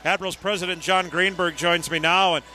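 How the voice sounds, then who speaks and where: A man's voice: high-pitched, fairly even intonation, fairly quick, pushed and bright. A man hosting a live sports radio broadcast interview from a hockey arena. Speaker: radio play-by-play host.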